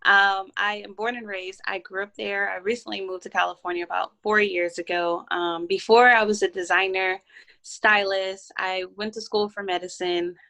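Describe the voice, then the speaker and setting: A woman from Baltimore, Maryland, talking as an interview guest in a steady, self-assured way. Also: mid-range voice, moderate pace, vocal fry. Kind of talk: casual interview talk.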